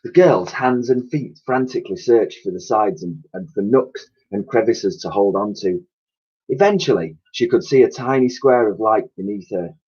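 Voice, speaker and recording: Male storybook reader, teacherly and expressive, husky mid-range voice, slightly muffled lo-fi sound. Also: lowish voice, moderate pace. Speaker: primary school teacher reading aloud. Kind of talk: expressive children's storybook narration.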